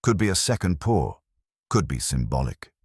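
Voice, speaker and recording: Man doing a wry detective's sidekick, really deep, clipped answers, mystery audiobook. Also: big pitch swings, moderate pace, darkish tone, slightly rough, dry recording, studio quality, exaggerated voice. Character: wry, alert male detective's assistant.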